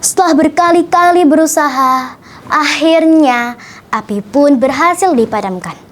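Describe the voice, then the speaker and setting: A young female storyteller, reciting a children's tale in an expressive, deliberate, theatrical way. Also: sits high.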